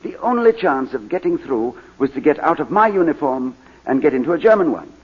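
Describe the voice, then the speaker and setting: An actor playing an anguished British gentleman and war veteran giving halting, stammering testimony in a 1940s radio drama. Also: fairly high pitch, very animated, breathy edge. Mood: haunted. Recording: dull, lo-fi 1940s radio recording.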